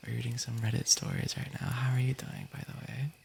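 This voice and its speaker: Creaky-voiced man reading stories on stream. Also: mid-range voice, flat delivery, fast talker, a little mellow, a little hiss or hum. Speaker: livestream host reading stories. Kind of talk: casual story reading on a livestream.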